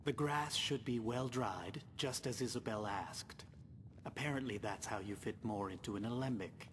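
A man's voice: moderate pace, lightly acted. A man voicing an officious, by-the-book company clerk in a video game, with a formal, fussy manner. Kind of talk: video game character dialogue. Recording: long reverb, faint rumbling noise.

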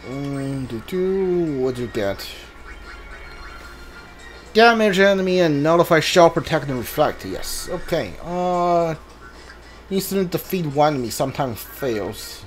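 A gamer talks through a playthrough in a mid-pitched, very animated voice. The delivery is casual and halting, as if working things out aloud, with faint game music behind. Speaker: video game player. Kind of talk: casual let's play commentary. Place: indoors, voice-over on gameplay. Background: video game music.

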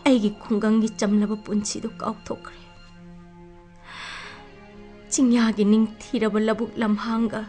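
A woman's voice: a little high, dark tone, lightly acted. A woman in a radio play, playing a discontented, ambitious village wife with a nagging, emphatic manner. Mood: reflective, subdued. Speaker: radio drama actress. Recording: music under the voice.

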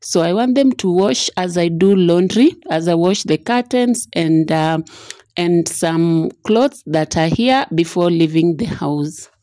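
A woman's voice: fairly low pitch, unhurried, no room sound, clean studio sound. A woman in her thirties narrating her home cleaning in a casual, chatty way, with lots of "uh" fillers. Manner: relaxed, many "uh" fillers, chatty.

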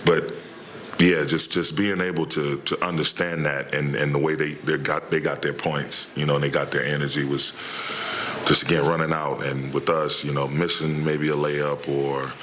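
A middle-aged man with a very low, flat voice answers reporters after a game, starting and restarting his sentences as he searches for words. He speaks into a table microphone in a press room, with a faint hiss of ambience behind him. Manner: halting, many restarts and fillers. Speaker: head coach. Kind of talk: postgame press conference answers. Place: press room in front of a logo backdrop.

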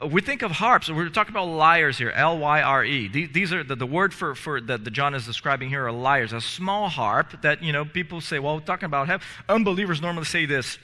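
Earnest bright-voiced pastor in a church. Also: male voice, fairly high pitch, in a large hall. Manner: earnest, gesturing, many restarts and repeated words. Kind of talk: sermon. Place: church sanctuary in front of stage steps. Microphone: headset mic.

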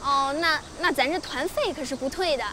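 An actress playing a brisk, polite young female tour guide, projecting instructions to a group. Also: high-pitched, background noise, lightly acted. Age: young adult.

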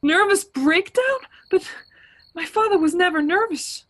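A woman's voice: very high voice, expressive, a little slow, fairly bright tone, exaggerated voice. An actress playing a haunted young woman traveller in a suspense radio play, with a theatrical, brooding and fraught manner. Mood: alarmed. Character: Ronnie Adams, haunted young woman traveller narrating her ordeal. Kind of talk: suspense radio play acting, dramatic monologue. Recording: music bed under home-recorded radio play.

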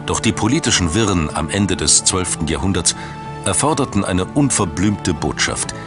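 Male documentary narrator, very deep, measured and dramatic, music bed underneath. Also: animated. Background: background music.

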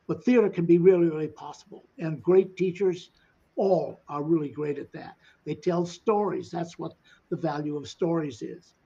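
A man's voice: a little high, normal speed, gravelly, dark tone, husky. An older man speaking as a panelist on a video call, folksy and skeptical, mixing anecdotes with asides. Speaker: panelist, company founder. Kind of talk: webinar panel talk. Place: home room. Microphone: webcam on video call. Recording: dull lo-fi video call audio.

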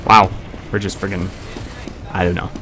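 A man's voice: sits low, little pitch movement, normal speed, noisy background. A man giving casual game commentary, grumbling, blurting exclamations and mimicking game lines. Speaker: gamer making a gameplay video. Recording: game music and sound behind voice. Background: video game music and effects.

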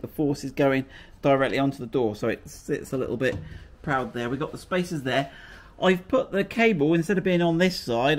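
Chatty British man fixing a car. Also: fairly high pitch. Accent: British English. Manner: chatty and self-mocking, thinking aloud. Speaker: workshop presenter. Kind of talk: casual how-to chat while working. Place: indoor workshop garage.

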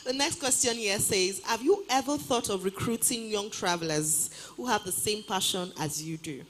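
A woman's voice: mid-range voice, moderate pace, fairly bright tone, slight background noise. A woman interviewer on a stage, politely reading audience questions aloud and thanking her guest, on a handheld mic in a large auditorium.

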